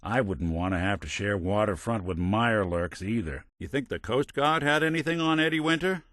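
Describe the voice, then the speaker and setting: World-weary robot private eye, video game. Fairly low pitch, close dry sound, wry hard-boiled delivery, loyal at heart. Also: male voice, animated, normal speed, fairly bright tone, no echo. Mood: wry, matter-of-fact. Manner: wry, understated, old-fashioned hard-boiled phrasing. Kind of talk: video game character voice lines, film noir detective acting.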